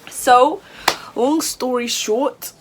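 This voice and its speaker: A woman in her twenties from Nigeria with a British accent, talking casually to camera as a hair vlogger, chatty and lively and sometimes flustered. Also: high-pitched, very slow, noisy background. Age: 20s. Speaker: beauty vlogger.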